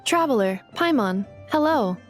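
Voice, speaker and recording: High-voiced, deadpan robot assistant. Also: female voice, a little slow. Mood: calm, informative. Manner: formal, precise, deadpan. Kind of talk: anime game voice acting. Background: game music.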